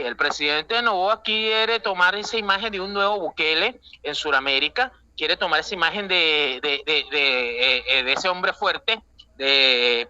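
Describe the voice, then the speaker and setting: A man's voice: sits high, pushed and bright, muffled recording. A man with a Venezuelan accent, an international analyst giving emphatic, rambling political commentary with many fillers, calling from an airport. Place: airport waiting area.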